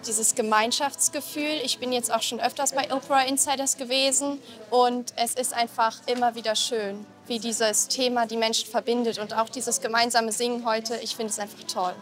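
Vox pop note: woman, higher voice, enthusiastic, faint music. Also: steady tone, normal speed, few pauses, a bit bright. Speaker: workshop participant.

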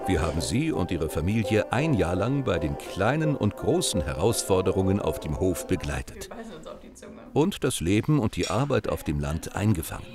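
Guy doing tv doc narration, lowish voice that moves around a lot, music behind. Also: moderate pace. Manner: clear, even narrating delivery. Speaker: documentary narrator. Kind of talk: scripted TV narration.

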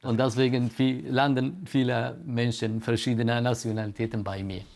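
A man, a Kurdish native speaker, working as a refugee counselor and speaking haltingly into a distant mic. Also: medium pitch, little pitch movement, gravelly, a little mellow.

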